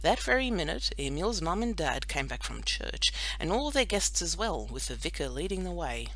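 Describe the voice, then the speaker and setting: A woman narrating a children's audiobook in an expressive, scripted storytelling style. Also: fairly low pitch, moderate pace.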